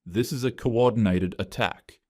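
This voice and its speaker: An angry, defiant woman HR manager, read out by a man in his own low voice. The delivery stays dry and even, close and unadorned, with a low pitch that barely bends for her. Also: measured pace, no echo.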